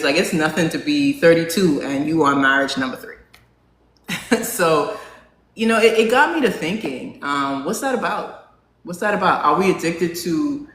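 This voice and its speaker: A non-binary host in their forties chatting casually with a live audience, asking them questions and filling pauses with "um". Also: mid-range voice, fairly bright tone. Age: 40s. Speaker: live talk show host. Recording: roomy echo, faint background hum.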